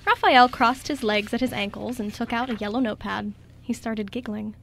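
A woman's voice: a little high, normal speed, vocal fry, a bit bright. A woman giving scripted story narration for a radio play.

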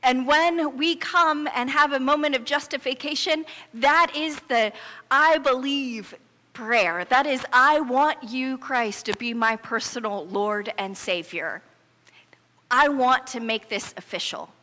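A woman's voice: high-pitched, slow pace, pushed and bright. A middle-aged American woman pastor preaching a sermon in an emphatic, earnest, conversational storytelling manner. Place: church sanctuary, preaching before a congregation. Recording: reverberant church hall.

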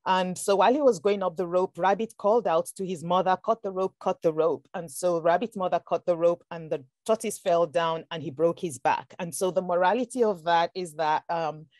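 A woman's voice: on the low side, a little fast, few pauses, darkish tone, no echo, clean studio sound. A woman from Nigeria, an author speaking as a guest on a podcast, talking thoughtfully with frequent restarts and fillers. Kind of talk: conversational podcast interview.

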